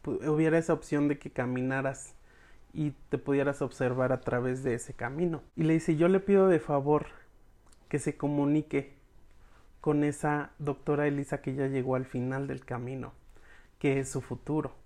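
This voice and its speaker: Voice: medium pitch, normal speed. Slangy Mexican podcast recapper. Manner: slangy, expressive, acts out dialogue casually. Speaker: podcast reviewer recapping episodes for followers. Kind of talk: informal storytelling recap, addressing the audience as friends.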